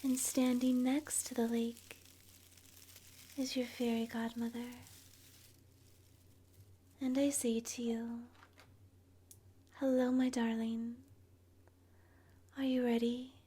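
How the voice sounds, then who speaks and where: A woman softly narrating an ASMR guided meditation in a breathy, whispery voice, gentle and soothing, leaving long pauses. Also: on the high side, level tone, slight background noise.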